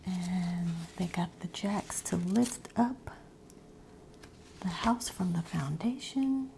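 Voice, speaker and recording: A woman's voice: on the low side, slow pace, creaky, slight background noise. Whispering woman reading a storybook. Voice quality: soft whisper. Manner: whispering, with asides and ad-libbed lines. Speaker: reader of a children's picture book.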